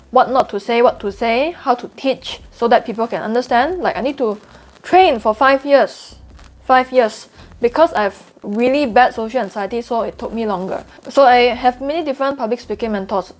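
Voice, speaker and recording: Singaporean girl vlogging to cam, pretty high voice, earnest and open, says stuff twice. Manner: earnest, confiding, repeats for emphasis. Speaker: trading YouTuber. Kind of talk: candid vlog to camera.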